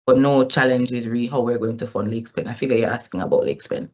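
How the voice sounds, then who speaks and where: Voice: mid-range voice, a little fast, dull audio. A company executive answering questions on an earnings call in formal business phrasing, explaining step by step.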